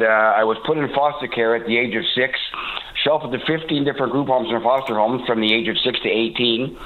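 A man in his sixties from Toronto, calling in to a radio show, talking haltingly with many fillers and restarts, a bit congested from a cold. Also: mid-range voice. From Toronto, Canada. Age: 60s. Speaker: caller. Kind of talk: call-in radio talk. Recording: tinny phone line.

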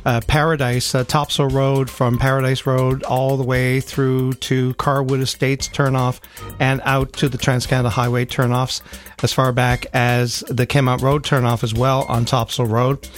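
A man's voice: mid-range voice, little pitch movement, moderate pace, soft background music. A man hosting a live morning radio show, reading traffic and interviewing a guest in an easygoing, conversational way.

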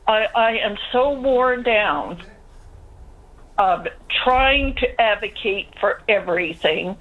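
A woman's voice: medium pitch, expressive, slow. An older woman, calling in to a radio show and pouring out her frustration in long, pleading runs. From Newfoundland, Canada. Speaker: caller. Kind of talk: call-in radio complaint. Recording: tinny phone line.

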